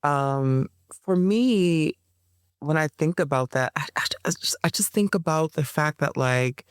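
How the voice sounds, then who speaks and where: A woman guest and performer in a reflective interview, speaking slowly and pausing to find her words, with slangy asides. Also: sits low, smooth, no echo, clean studio sound.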